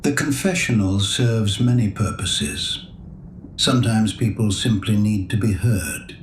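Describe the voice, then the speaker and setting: An actor playing a kindly, patient old priest in an audio drama, speaking gently and deliberately like a father to his flock. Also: fairly low pitch, lightly acted. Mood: patient, solemn. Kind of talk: full-cast audio drama acting. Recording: roomy echo, faint background noise.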